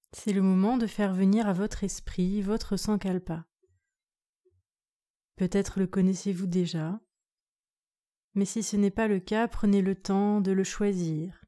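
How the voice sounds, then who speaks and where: A woman yoga nidra instructor leading a guided relaxation meditation in a calm, soothing, measured way. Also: mid-range voice.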